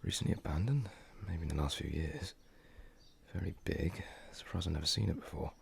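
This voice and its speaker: A man's voice: low voice, brisk, vocal fry, a little hiss or hum. A man playing a curious, self-questioning time traveller in an audio drama, musing in a wry, hesitant way. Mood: curious, wary. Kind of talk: audio drama monologue and dialogue. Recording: music under the voice.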